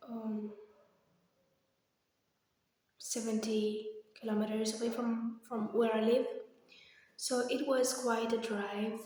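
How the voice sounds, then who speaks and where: A young woman vlogger, slightly hoarse, chatting casually and hesitantly in a rambling personal update recorded in an echoey room. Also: a little high, a little mellow. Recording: very echoey, reverberant empty room.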